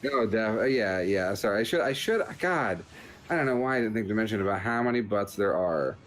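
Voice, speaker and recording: A man's voice: fairly low pitch, lively intonation, fairly quick, fairly bright tone. A man hosting a video game show, giving casual, rambling commentary in a joking, understated way.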